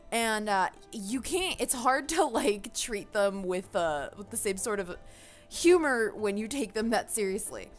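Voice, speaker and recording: A woman with a mid-pitched voice talks straight to her viewers in a casual, opinionated rant. She is emphatic and exasperated, with informal, mildly sweary phrasing. Music plays faintly behind her. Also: a bit bright. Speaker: gaming video creator.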